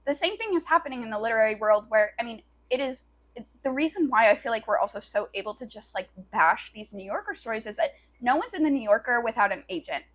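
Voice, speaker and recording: Higher-pitched woman in casual podcast banter. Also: expressive, fast talker, fairly bright tone. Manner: informal, sweary, heavy use of "like". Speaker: podcast co-host.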